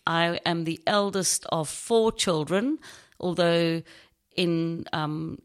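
A middle-aged woman from South Africa with a South African accent, a podcast guest telling her story thoughtfully with many pauses and ums. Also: on the low side. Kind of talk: casual interview conversation.

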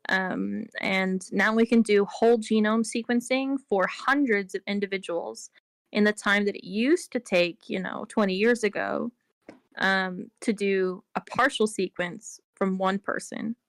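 A woman's voice: medium pitch, measured pace, dry recording. A woman with a mid-range voice talks as a podcast guest, explaining her answers at length and filling gaps with "like" and "um". The sound is dry and close, with no room around it. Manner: explains at length, with many fillers like "like" and "um". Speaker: interview guest. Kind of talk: casual explanatory interview talk.

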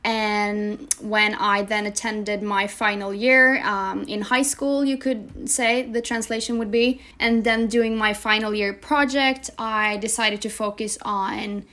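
A woman's voice: medium pitch, fairly even intonation, slow pace, hardly any pauses, sharp tone. A young woman from Norway, a guest speaking casually over a video call, hesitant with many fillers and restarts. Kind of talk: casual interview chat.

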